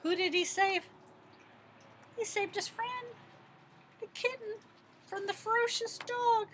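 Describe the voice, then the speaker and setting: A middle-aged American woman reads a picture book aloud to children in an expressive, emphatic way, asking questions and answering them. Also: high-pitched, a little hiss or hum. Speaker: teacher-librarian reading to children. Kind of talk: animated picture-book read-aloud with questions to young listeners.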